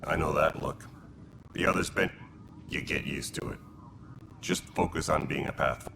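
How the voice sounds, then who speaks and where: An actor playing a gruff, dutiful alien militia leader in a video game, speaking with blunt military terseness in a gravelly voice. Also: male voice, normal speed, noisy background. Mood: reassuring. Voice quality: gravelly, very deep.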